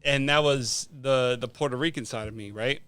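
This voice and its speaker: Clear-voiced man telling personal stories casually. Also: mid-range voice, moderate pace, fairly bright tone, no room sound. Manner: informal, sweary, punctuates talk with "right?". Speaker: podcast guest.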